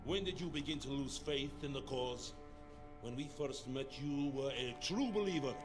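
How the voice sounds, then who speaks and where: Guy doing a measured interrogator in a war game, mid-pitched, probing, music behind. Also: natural voice. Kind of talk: dramatic video game cutscene acting.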